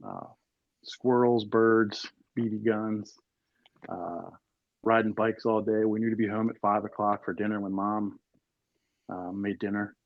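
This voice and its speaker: A man's voice: on the low side, steady tone, a little slow, creaky, phone-quality sound. A man in his forties from Maryland, telling stories casually as a podcast guest, with many fillers and restarts. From Northern Carroll County, Maryland, USA. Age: 40s. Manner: rambling, lots of fillers and restarts. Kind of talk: casual storytelling chat.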